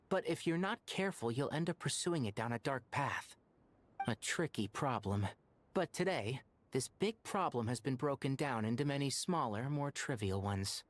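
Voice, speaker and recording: A man voicing a composed, thoughtful male scholar in a video game, speaking in an earnest, measured, polite way. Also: mid-range voice, close to an everyday voice.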